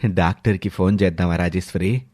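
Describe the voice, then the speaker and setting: A man voicing a kindly older neighbour in a story, with a gentle, fatherly way of asking. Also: low voice, steady tone, fairly quick, dry recording, clean studio sound, voice pushed down. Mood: concerned, gentle.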